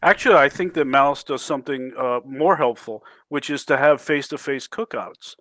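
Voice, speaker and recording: A man on a casual live-stream panel, speaking earnestly and haltingly. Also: mid-range voice, a bit bright, no echo.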